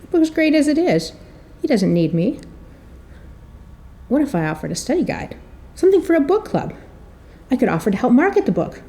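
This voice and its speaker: A woman giving a prepared introduction speech to an audience in a theatrical, storytelling way, with rhetorical questions and pauses. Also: high-pitched, very animated, warm tone. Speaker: editor and marketing director introducing an author. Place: room at a public presentation.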